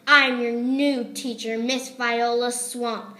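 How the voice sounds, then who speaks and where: A woman's voice: fairly high pitch, level tone, slow pace, bright, forceful tone. A woman voicing a mean, witch-like female substitute teacher who snaps out bossy, curt orders in a storybook. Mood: stern, threatening.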